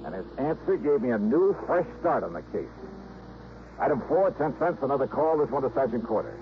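An American man acting as a brisk, wry insurance investigator who narrates and talks in a conversational, punchy mid-century radio drama style. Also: medium pitch, big pitch swings. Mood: musing, brisk. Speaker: lead actor and first-person narrator of a detective radio serial. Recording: noisy, muffled old radio broadcast.

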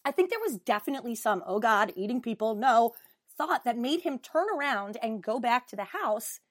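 A woman hosting a podcast, reading a listener's email aloud with deliberate emphasis. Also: fairly high pitch, animated, no echo, clean studio sound. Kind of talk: dramatic reading of a fan email.

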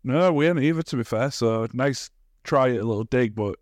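A man's voice: medium pitch, brisk, a little mellow, no room sound, studio quality. A man, co-hosting a podcast, teasing and bantering in casual chat. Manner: teasing, banters and talks over his co-host. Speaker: podcast co-host.

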